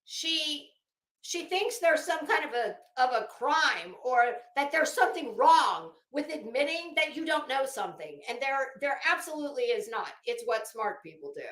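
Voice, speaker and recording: A woman's voice: high voice, expressive, normal speed, a bit bright. A woman livestreamer talking casually and reading aloud, with a blunt, sardonic, salty-tongued manner. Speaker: livestream host. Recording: echoey room.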